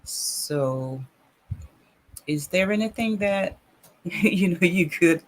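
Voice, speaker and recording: A man hosting an interview who works in insurance and mortgages, asking questions hesitantly with many pauses. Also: high-pitched, lively intonation. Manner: hesitant, encouraging backchannels. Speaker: radio host, insurance and mortgage professional. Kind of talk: casual interview.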